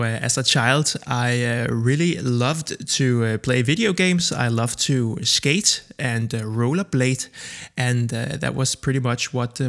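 A Danish man in his thirties, a native Danish speaker, hosting his own podcast and telling his background in a relaxed way with many pauses and fillers. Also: medium pitch. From Denmark. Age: 30s. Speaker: podcast host. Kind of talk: casual solo podcast monologue.

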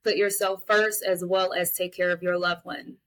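A woman's voice: lowish voice, flat delivery, moderate pace, fairly bright tone. A woman in her late thirties speaking as a podcast guest, giving candid, emphatic personal advice straight to the listeners. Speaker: podcast guest, mental health advocate and guardian. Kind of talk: conversational interview, personal testimony and advice.

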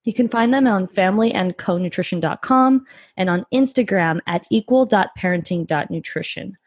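A woman's voice: medium pitch, normal speed, husky, no echo. Upbeat woman podcast host, dry sound. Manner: upbeat and encouraging. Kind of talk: podcast interview.

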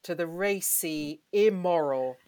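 A woman interviewed as a literary expert, talking conversationally in a halting, emphatic way with repeated words. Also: on the low side, darkish tone, no echo. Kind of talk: animated conversational discussion.

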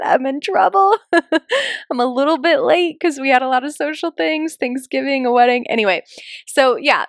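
A woman's voice: fairly high pitch, a bit bright, dry recording, clean studio sound. A woman hosting her own podcast, chatting casually, laughing often and checking in with "right?". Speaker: podcast host. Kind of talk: casual solo podcast talk.

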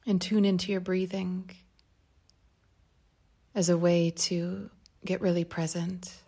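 A woman guides a meditation in a low, mellow voice with a soft creak. She speaks gently and calmly, leaving space between phrases, her breathy tone often thinning toward a whisper. The melody stays very even throughout. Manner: calm, unhurried, gentle phrasing with long pauses.

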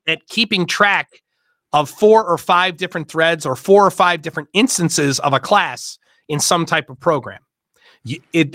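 Emphatic man host, bright dry voice. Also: a little high, no echo. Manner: emphatic, rapid explaining with many fillers. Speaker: host and workshop leader. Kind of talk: casual discussion.

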